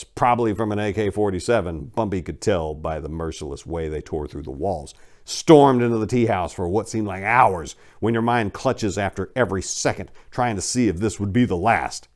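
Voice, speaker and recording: A man's voice: on the low side, normal speed, a little mellow. A man giving a dramatic scripted reading of gritty crime fiction as narrator, with streetwise vernacular. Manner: profane Southern street vernacular.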